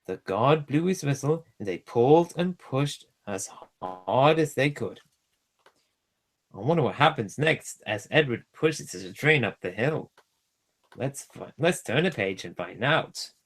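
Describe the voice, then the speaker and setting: A man reading a children's storybook aloud as a storyteller, in an animated style. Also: medium pitch, measured pace. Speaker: storyteller, streamer.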